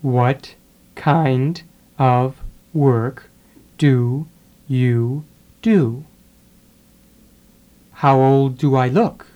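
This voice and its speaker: A speaker drilling English phrases for learners, saying each sentence and then repeating it one word at a time with pauses. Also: medium pitch, warm tone, lo-fi sound. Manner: deliberate, pausing after each word. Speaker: English teacher.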